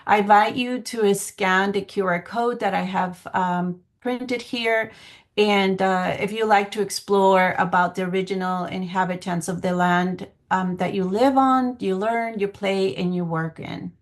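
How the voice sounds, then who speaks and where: A woman's voice: medium pitch, steady tone, a little slow. Earnest woman presenting on a video call. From Guatemala. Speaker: public health planning coordinator giving a presentation. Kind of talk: semi-formal online presentation. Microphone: video call microphone.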